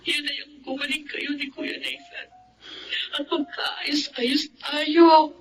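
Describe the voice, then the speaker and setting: A woman's voice: sits high, level tone, slow pace, rough recording. A woman playing a kind, forgiving married younger sister in a Filipino radio drama, with earnest, emotional delivery. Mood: tearful, pleading.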